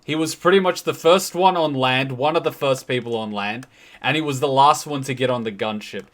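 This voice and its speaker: A man's voice: medium pitch, fairly quick, fairly bright tone. A man chatting casually as a reaction host, emphatically explaining and rephrasing his points. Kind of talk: casual commentary and chat.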